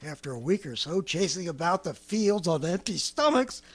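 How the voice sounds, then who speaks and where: A raspy, fairly high-voiced former militiaman in an old radio play, rambling and boastful as he schemes. His pitch leaps about wildly, and he keeps laughing to himself. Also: sing-song, normal speed. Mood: scheming. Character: scheming stranger, a former militiaman with a survival plan. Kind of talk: 1930s radio drama acting.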